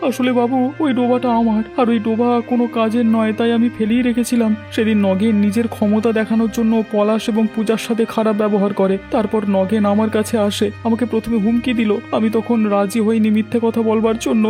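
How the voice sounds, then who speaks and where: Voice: medium pitch, level tone, fast, few pauses, dark tone, lightly acted. An actor playing a gullible, greedy village man in a Bengali cartoon, with a whiny, pleading manner. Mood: confessing. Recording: music behind the voice.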